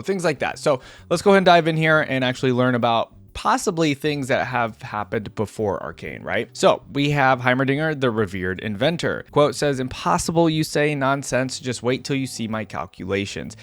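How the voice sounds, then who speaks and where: A man hosting a reaction video, chatting casually and reading lore aloud with conversational asides. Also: fairly low pitch. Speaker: reaction video creator, host.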